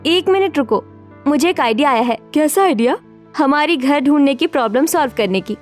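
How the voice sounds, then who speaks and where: Woman playing a high-voiced spirited young woman. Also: expressive, fairly quick, faint music behind. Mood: excited, scheming. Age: young adult. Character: spirited, scheming young modern single women seeking housing. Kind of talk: acted Hindi story dialogue.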